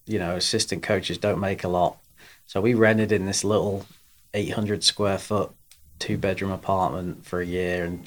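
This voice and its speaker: A man in his thirties from England, a golf coach talking casually as a podcast guest, with many fillers and restarts. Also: low voice. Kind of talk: casual interview.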